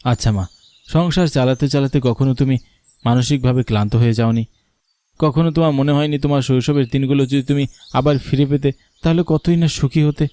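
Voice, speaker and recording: A man voicing a caring young husband and son in a cartoon story, coaxing and reasoning gently. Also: medium pitch, steady tone, fairly quick, clear, resonant tone, no room sound, lightly acted. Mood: persuasive, gentle. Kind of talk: animated story character acting.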